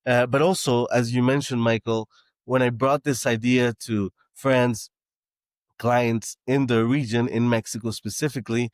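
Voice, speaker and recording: A man's voice: mid-range voice, fairly even intonation, measured pace, no echo. A man who grew up in Canada, a startup founder talking as a podcast guest, with many restarts and fillers. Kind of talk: casual interview talk.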